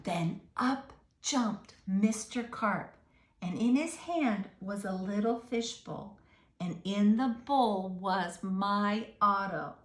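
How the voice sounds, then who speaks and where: A woman's voice: mid-range voice, slow pace, reverberant. A woman reading a picture book aloud to children, expressively, with questions and asides to her young listeners.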